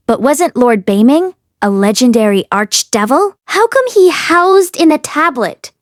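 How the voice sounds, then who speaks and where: A woman's voice: high-pitched, no room sound, clean studio sound. A woman reading a fantasy novel aloud as an audiobook narrator. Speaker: audiobook reader. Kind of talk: expressive storybook narration.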